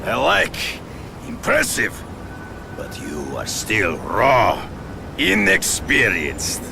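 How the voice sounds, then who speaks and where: An actor playing a proud, menacing Russian hunter with a Russian accent and grand, slow speech, in a game cutscene. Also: male voice, fairly low pitch, wildly swooping pitch, vocal fry, exaggerated voice. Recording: game cutscene with music behind.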